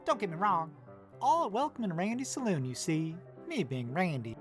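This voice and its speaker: A woman's voice: on the low side, very animated, normal speed, soft background music. Woman playing a chatty, swooping saloon keeper. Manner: wordy, showy, folksy salesman. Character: chatty, eager-to-please male saloon keeper in Wild West. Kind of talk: visual novel voice acting.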